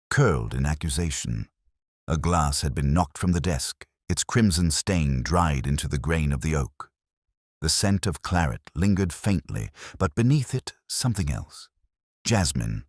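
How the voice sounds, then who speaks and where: A man with an extremely low voice narrates a detective mystery. His delivery is measured and brooding, yet the pitch moves in lively, expressive swings. The phrasing is literary and carefully scripted. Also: dry recording, studio quality.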